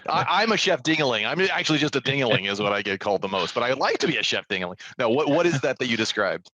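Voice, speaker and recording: A man's voice: medium pitch, fast talker, dry recording. A man hosting the show and interviewing his co-host, joking around and mocking himself in a playful way. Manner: jokey, animated, self-mocking.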